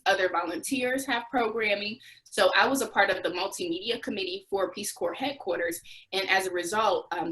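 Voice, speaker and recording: A woman's voice: fairly low pitch, fairly bright tone, poor audio. A young adult American woman speaking as an interview guest, telling her experiences conversationally and acting out bits of dialogue. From United States. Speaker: interview guest, former Peace Corps volunteer.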